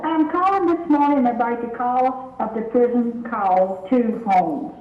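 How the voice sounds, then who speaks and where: A woman gives a phone message statement in a somewhat high voice. The sound is narrow and tinny, and it echoes as if replayed in a room. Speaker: caller to a comment line.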